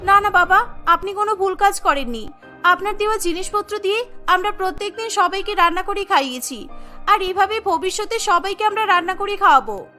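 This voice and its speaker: A woman's voice: very high-pitched, expressive, fairly quick, bright, forceful tone, soft background music, exaggerated voice. A woman voicing a kind, earnest young village wife in a cartoon, speaking expressively. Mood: grateful. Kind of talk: cartoon story dialogue.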